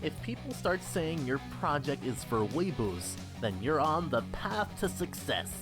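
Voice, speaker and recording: Animated convention panel presenter, music behind. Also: male voice, a little high, unhurried. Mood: tongue-in-cheek. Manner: addresses a crowd in clear steps. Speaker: visual novel game character. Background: guitar game music.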